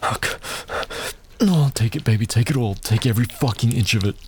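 A man's voice: normal speed, very noisy. A man performing an adult audio roleplay in a dominant, taunting tone, with heavy breaths and muttered exclamations between lines. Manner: dominant and taunting, low intimate delivery.

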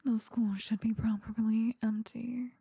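Woman whispering like a strict bossy teacher, super breathy, slow, dry close sound. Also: a little high, little pitch movement, warm tone, dry recording. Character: domineering female teacher. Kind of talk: whispered audio roleplay.

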